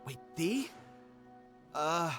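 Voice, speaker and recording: A man's voice: a little high, big pitch swings, drawn-out words, music in the background, full character voice. A man playing an earnest, jumpy, stubbornly hopeful struggling writer in a video game, fumbling and pleading. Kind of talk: animated game dialogue.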